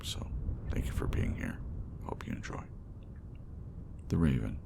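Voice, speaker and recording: A man reading gothic poetry in an ASMR audiobook style, slow and dramatic, in a hushed, breathy voice that often drops near a whisper. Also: big pitch swings. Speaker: narrator. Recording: rumbling rain and thunder bed. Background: rain and thunder sounds.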